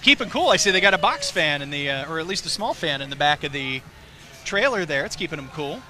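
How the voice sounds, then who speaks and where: A high-voiced man with a Southern twang calls a hometown parade live from outdoors, cracking jokes and laughing as he goes. A marching tune plays clearly behind him. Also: lively intonation, quick pace, sharp tone, soft background music. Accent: Southern American. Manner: jokey, chatty, laughs often. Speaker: parade commentator.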